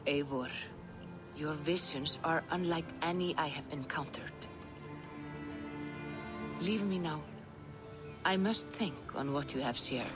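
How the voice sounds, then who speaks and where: An actress playing a grave, probing Norse seer in a video game cutscene, measured and reverent in manner. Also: female voice, deep voice. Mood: grave, guarded. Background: music.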